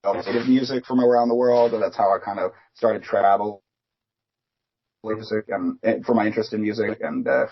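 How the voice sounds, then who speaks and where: Guest musician, man from Philadelphia, lowish voice, rambling and filler-heavy, muffled lo-fi sound. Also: fairly even intonation, normal speed, vocal fry, a little hiss or hum. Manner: rambling, many fillers and restarts. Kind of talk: casual interview chat. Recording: dull lo-fi remote audio.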